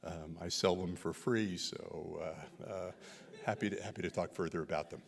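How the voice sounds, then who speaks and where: A man with a very low voice answers audience questions in a synagogue hall, and his words trail with a long reverb. He talks haltingly, full of "um"s and restarts, on a microphone. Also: steady tone, gravelly. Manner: halting, many fillers and restarts. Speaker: visiting researcher presenting a community study. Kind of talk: Q&A answers after a talk. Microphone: handheld microphone.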